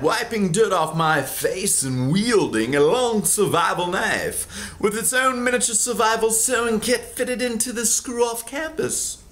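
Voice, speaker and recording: A man in his forties, from Kansas, reading his own memoir aloud in a theatrical, sardonic way, close to a webcam in a small room at home. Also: high voice. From Kansas, USA. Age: 40s. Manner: theatrical, sardonic, bursts into loud emphasis.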